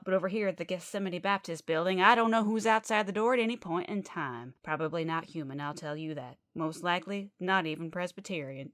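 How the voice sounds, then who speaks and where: A woman's voice: lowish voice, a bit bright, no echo, studio quality, natural voice. A woman playing a zealous, chatty Baptist preacher with a Southern US accent, rambling emphatically through a midnight radio sermon.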